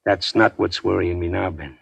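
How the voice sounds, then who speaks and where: An actor playing a rueful small-time gangster in a 1940s radio drama, husky and gravelly, rambling in a folksy, confiding way. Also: male voice, low-pitched, normal speed, a bit bright, heavily acted. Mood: uneasy.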